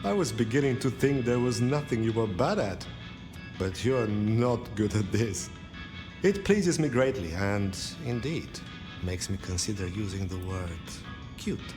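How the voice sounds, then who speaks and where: A man's voice: lowish voice, animated, measured pace, over music. An actor playing a suave, amused, courtly vampire man in a video game, with polished, teasing phrasing.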